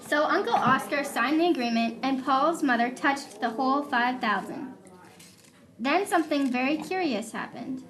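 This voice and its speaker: A woman's voice: sits high, animated, normal speed, fairly bright tone, rough recording. A woman narrating a story for a live puppet show. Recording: live show with crowd noise.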